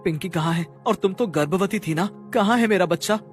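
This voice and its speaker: An injured, remorseful husband played by a man in a cartoon moral story. His voice is emotional and a little high for a man. Soft music plays underneath. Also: brisk, natural voice. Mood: anxious.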